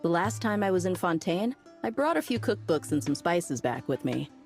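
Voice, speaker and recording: A breezy desert mercenary woman from a video game. Her voice sits low and she talks in a loose, slangy, friendly way. Music plays clearly behind her. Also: sing-song, moderate pace, a little mellow. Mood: upbeat, chatty. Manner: casual, chatty, slangy. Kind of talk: video game character acting.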